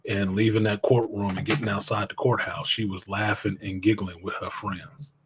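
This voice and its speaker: A man's voice: lowish voice, flat delivery, moderate pace, background noise. A middle-aged man speaking as an interview guest, telling his own story in a blunt, plainspoken way and cursing now and then.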